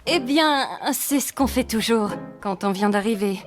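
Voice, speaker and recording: A woman's voice: on the high side, measured pace, soft background music, lightly acted. A woman voicing an anxious, friendly young cartoon character in French dubbing, earnest and nervous. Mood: gentle, explaining. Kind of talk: French-dubbed animated series acting.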